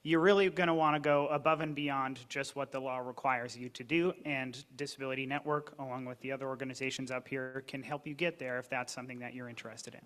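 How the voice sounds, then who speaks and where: A man's voice: mid-range voice, steady tone, fast talker, few pauses, a bit bright. A man on a discussion panel, explaining earnestly and at length.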